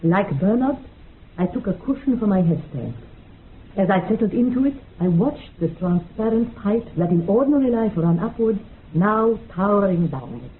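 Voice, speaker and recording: A woman's voice: mid-range voice, lively intonation, moderate pace, lightly acted. An actress playing a solemn, utterly convinced female mystic, speaking in grand, incantatory poetic phrases in a radio drama. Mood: rapt, reverent. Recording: tinny narrow-band radio sound, faint noise.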